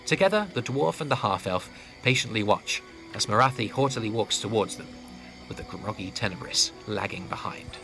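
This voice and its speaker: A man narrating a scripted fantasy audio drama as storyteller, with a gravelly voice. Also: lowish voice, lively intonation, moderate pace, sharp tone, poor audio. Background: clear background music.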